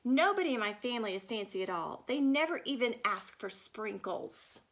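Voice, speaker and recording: A woman plays an enthusiastic little girl telling her own storybook tale in a high voice. She is dramatic and in love with all things fancy, proudly explaining grand words. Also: big pitch swings, moderate pace, a bit bright. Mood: eager, proud.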